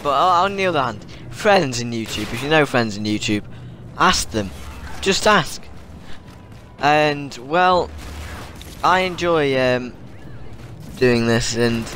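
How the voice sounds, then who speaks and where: A man's voice: medium pitch, a bit bright, a little hiss or hum. A teenage boy with a cold, rambling through casual tips to his viewers over gameplay, often repeating himself. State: has a cold. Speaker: gaming YouTuber.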